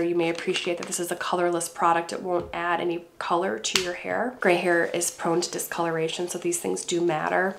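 A middle-aged woman with a congested voice from a cold, calmly explaining her hair care routine to camera at home. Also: lowish voice. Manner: calm, gentle, explaining step by step. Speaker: beauty vlogger. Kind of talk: casual talk to camera. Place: home room indoors.

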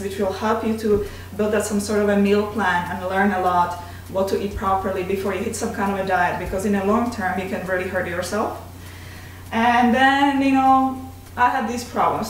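A woman in her forties with a Czech accent, candidly telling her story in casual chat as a vlog host. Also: medium pitch. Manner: candid, slightly broken grammar. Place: home kitchen, indoors. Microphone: desk mic on tripod, camera at a distance. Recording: very echoey kitchen, distant mic, faint hiss.

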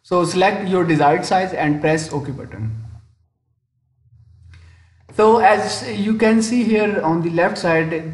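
A man narrating a step-by-step software tutorial as an instructor, hesitant and often pausing mid-sentence, in a voice-over with room echo. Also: high-pitched. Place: indoor room, screen-recording voice-over. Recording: roomy, echoing voice-over.

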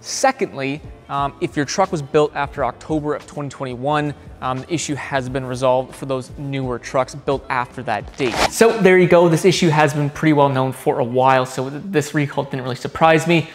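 A man's voice: mid-range voice, normal speed, soft background music. A young man hosting a car channel, giving an upbeat explainer to camera in a large garage workshop and talking with his hands. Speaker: automotive channel host.

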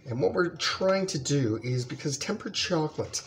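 A man's voice: on the high side, moderate pace, slight background noise. Easygoing man chatting while he cooks. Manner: relaxed, talks while stirring, many ums. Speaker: home cooking host. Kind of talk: casual cooking demonstration. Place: home kitchen, indoors. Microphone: built-in camera mic, close framing.

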